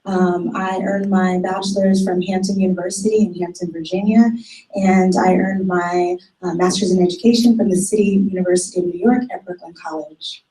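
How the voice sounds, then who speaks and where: A woman from California introduces herself from far away in an echoing hall. Her voice has a warm, mellow tone. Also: lowish voice, steady tone, moderate pace. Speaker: instructor. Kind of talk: self-introduction. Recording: roomy hall, far from the mic.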